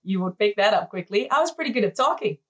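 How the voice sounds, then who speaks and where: A woman's voice: mid-range voice, expressive, quick pace. A middle-aged woman whose first language is Dutch, chatting as an interview guest and laughing as she tells stories. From Netherlands. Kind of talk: casual interview conversation.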